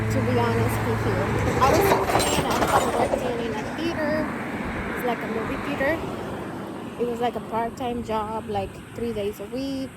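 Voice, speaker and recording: A woman's voice: fairly high pitch, very noisy. A young woman from Cuba, talking candidly to camera about her life, rambling with many fillers. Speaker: vlogger. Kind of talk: personal talk to camera.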